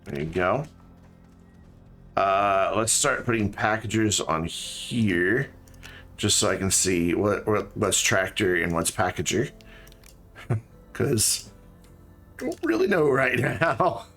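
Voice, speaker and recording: A man's voice: lowish voice, lively intonation, slow, pauses often, a bit bright, soft background music. A tired man with a slightly scratchy throat, streaming a game and thinking aloud in casual chat with many fillers.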